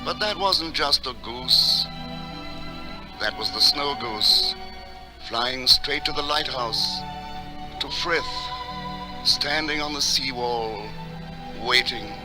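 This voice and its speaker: An actor playing a solemn storyteller, giving deliberate dramatic narration in a radio drama. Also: male voice, medium pitch, natural voice. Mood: solemn, reflective. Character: solemn male storyteller of a snow goose legend. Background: music.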